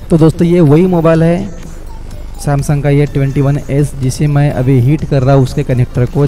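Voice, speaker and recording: A man's voice: mid-range voice, dark tone. A man, a mobile repair technician who explains a phone repair step by step and keeps calling the viewers "friends".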